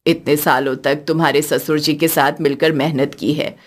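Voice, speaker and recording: A woman voicing an elderly, wise and affectionate village mother-in-law in a cartoon story, speaking in a measured, earnest way. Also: low voice, steady tone, pitched below the performer's own voice. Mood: proud, reminiscing.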